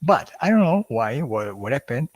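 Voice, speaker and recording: A man with an Italian accent hosting a live stream, talking in a halting way with many fillers and restarts. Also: medium pitch, very animated, no echo. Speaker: hypnosis channel host. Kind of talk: casual livestream talk.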